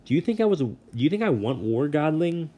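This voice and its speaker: Man performs bellowing, aggrieved god of the dead for a storybook reading, lowish and loud. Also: normal speed, natural voice. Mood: ranting. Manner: bellowing, harried and threatening. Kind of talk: audiobook reading.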